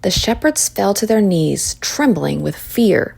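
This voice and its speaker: A woman reading a children's story aloud in expressive narration. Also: mid-range voice, a little slow, vocal fry, husky.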